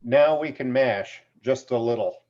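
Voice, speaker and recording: Muffled, lo-fi call audio carries a man acting a male forensic document analyst in a screenplay read. His pitch is medium, and he sounds focused on technical work. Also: unhurried, a little mellow, lightly acted. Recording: dull, lo-fi video-call audio.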